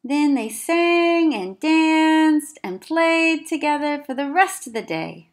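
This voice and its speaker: A woman teacher reading a children's story aloud, with expressive, careful emphasis for young listeners. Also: sits high, slow pace. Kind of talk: children's read-aloud narration.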